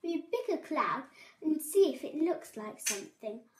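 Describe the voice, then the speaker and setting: Child acting an eager, high-pitched little squirrel. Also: big pitch swings, unhurried, echoey room, noisy background. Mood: encouraging. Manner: exclaiming, encouraging.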